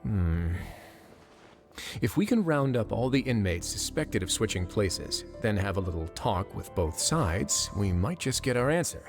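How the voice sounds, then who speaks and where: An actor playing a composed, wry prison warden who speaks calmly and decisively, from a video game. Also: male voice, on the low side, a little slow. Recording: game audio with faint music.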